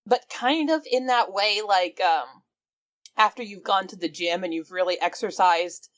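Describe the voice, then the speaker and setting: A woman playing a tabletop game, casually describing her character in a lively way and swearing freely. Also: mid-range voice, normal speed. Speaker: player.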